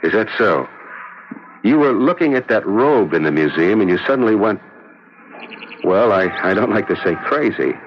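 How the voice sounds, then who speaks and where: Guy doing low lively doubting cop in an old radio play, kinda muffled. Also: normal speed, pauses often, natural voice. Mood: probing, skeptical. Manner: questioning, pressing, doubtful. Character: skeptical, probing male police detective lieutenant. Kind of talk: 1970s radio drama acting. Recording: muffled noisy vintage radio broadcast.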